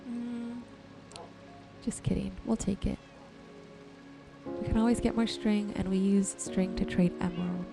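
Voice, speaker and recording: A soft-spoken woman giving unhurried ASMR gameplay commentary, musing to herself and her viewers. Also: mid-range voice, steady tone, creaky. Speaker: gaming streamer and host. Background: music and rain sounds.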